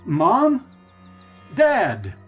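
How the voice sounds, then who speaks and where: Earnest nostalgic american man, kinda halting and lost, radio drama, soft music behind. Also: high voice, huge pitch swings, exaggerated voice. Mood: desperate, pleading. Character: nostalgic, bewildered man revisiting his boyhood town.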